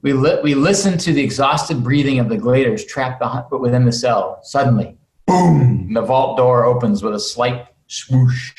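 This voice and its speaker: A man's voice: medium pitch, moderate pace. A man narrating screenplay stage directions at an online table read, reading expressively with occasional stumbles and asides. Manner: expressive, stumbles and breaks to comment. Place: remote video call from a room. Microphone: video call microphone. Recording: roomy, echoey video call audio.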